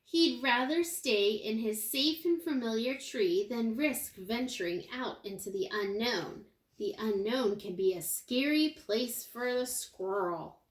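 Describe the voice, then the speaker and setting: A woman teacher reading a picture book aloud to young children, expressively, pausing for asides and questions to her listeners. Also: a little high. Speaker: kindergarten teacher. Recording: roomy, echoey indoor sound.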